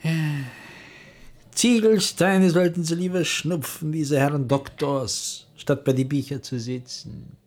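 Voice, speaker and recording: An actor playing a proud old bookseller who grumbles in broken, heavily coloured German. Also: male voice, fairly high pitch, steady tone, takes it slowly, a little mellow. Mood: scornful. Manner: heavy Yiddish-flavoured broken German, fussy, dismissive. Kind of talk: radio play acting.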